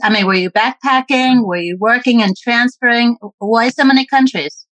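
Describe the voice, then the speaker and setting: A woman host with a slightly high voice reads a guest's bio, then asks clear, measured questions. Her sound is dry and close to the mic. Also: fairly bright tone, no room sound, rough recording. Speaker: interview host. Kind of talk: reading an introduction, then asking questions.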